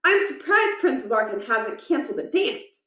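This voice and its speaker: A woman's voice: sits high, very animated, normal speed, bright, forceful tone, pitched above the performer's own voice, heavily acted. A woman voicing an earnest, precise schoolboy who explains things helpfully in a storybook, in an echoey room. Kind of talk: children's storybook character voice. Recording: roomy, echoing room.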